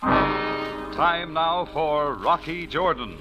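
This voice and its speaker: A man's voice: a little high, expressive, very slow, loud music behind the voice. An American man announcing a 1940s radio adventure drama in scripted style on a crackly old recording.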